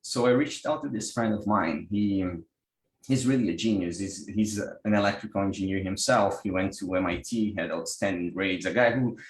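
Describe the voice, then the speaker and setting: A man's voice: deep voice, moderate pace, roomy sound, rough recording. A man from Brazil with a Brazilian Portuguese accent, giving an online workshop talk over a video call, hesitant with many restarts. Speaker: workshop presenter, pranic healer.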